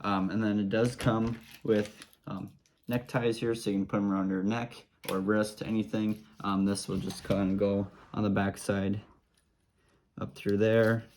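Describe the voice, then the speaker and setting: A man with a fairly low voice walks through a product demonstration. He explains each step simply and informally, in an easygoing way. Clear noise runs behind his home recording. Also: flat delivery, unhurried, darkish tone. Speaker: product reviewer.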